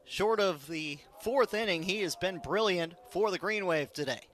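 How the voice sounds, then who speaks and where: A man's voice: high-pitched, normal speed, fairly bright tone, slight background noise. A man calling a live baseball game on the radio as play-by-play announcer, in clipped, descriptive phrases. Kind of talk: live radio sports commentary.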